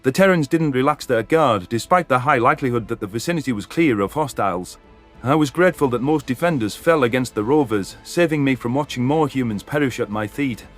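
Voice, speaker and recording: A man's voice: medium pitch, lively intonation, fairly quick, close to an everyday voice. An alien male soldier, acted by a man narrates a sci-fi war story over faint music. His mid-range voice is earnest and articulate, with grief and vengeance beneath the formal wording. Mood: grim, intent. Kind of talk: first-person sci-fi story narration and dialogue.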